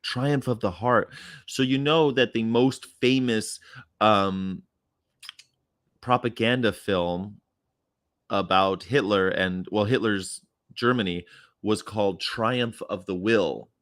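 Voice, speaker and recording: A man's voice: fairly low pitch, slow pace, a bit bright, dry recording, clean studio sound. A man in casual chat as a guest, teasing with absurd jokes.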